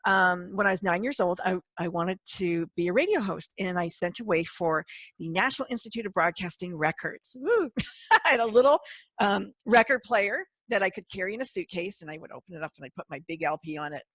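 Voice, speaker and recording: A woman's voice: lowish voice, expressive, fairly quick, fairly bright tone, no room sound. A woman who founded a radio network, talking about her shows in an enthusiastic, chatty way and laughing often. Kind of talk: casual conversation.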